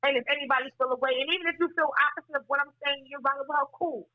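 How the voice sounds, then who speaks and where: Woman battle rapper guest, soft-spoken but blunt and profane, fast talker, dry muffled audio. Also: sits high, fairly even intonation, no room sound. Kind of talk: candid interview talk.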